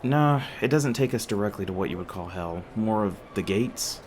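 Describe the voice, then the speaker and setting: A man's voice: lowish voice, expressive, moderate pace, darkish tone, a little hiss or hum, lightly acted. A man playing a young demon boyfriend in an ASMR roleplay, speaking casually with teasing jokes, sweetness and hesitant asides.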